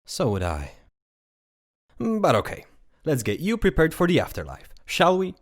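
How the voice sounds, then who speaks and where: A man's voice: a little high, wildly swooping pitch, a little slow, exaggerated voice. A man playing a shy, hesitant, friendly afterlife guide in an audio drama. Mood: bashful.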